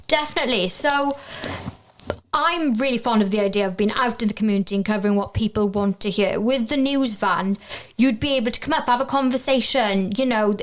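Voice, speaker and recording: A young Welsh woman in her twenties, a native Welsh speaker, answering interview questions in an earnest, steady way and often wrapping up with "so yeah". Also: a little high, a little fast. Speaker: journalism graduate, guest.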